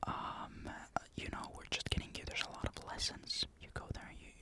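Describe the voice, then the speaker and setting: A young man from Finland, whispering breathily in a casual, rambling ASMR-like monologue despite a sore throat. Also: moderate pace. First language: Finnish. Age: young adult. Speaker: military conscript recounting service.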